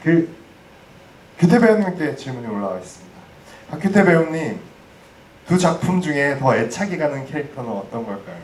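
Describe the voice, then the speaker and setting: A man's voice: a little high, big pitch swings, normal speed. A man moderating a post-screening audience talk, speaking casually and hesitantly with many restarts. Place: cinema hall. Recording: roomy, distant mic, faint noise.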